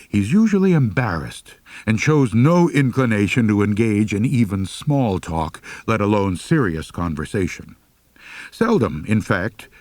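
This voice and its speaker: Male narrator, lowish pitch, very lively intonation, wry mock-serious humorous storytelling. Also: no echo. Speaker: audiobook narrator. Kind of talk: dramatic humorous first-person storytelling, scripted narration.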